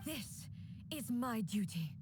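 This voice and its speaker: An actress playing a proud, unyielding warrior deity in a video game, speaking in a grave, formal, declamatory way. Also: female voice, on the high side, very animated, slow, darkish tone, a little hiss or hum. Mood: solemn, resolute. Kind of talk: dramatic scripted video game voice acting. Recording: game music behind the voice.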